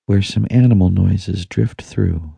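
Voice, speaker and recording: A man narrating a guided meditation in a soothing, hushed manner with long pauses. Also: low-pitched, gravelly, breathy edge, no echo. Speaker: audiobook narrator.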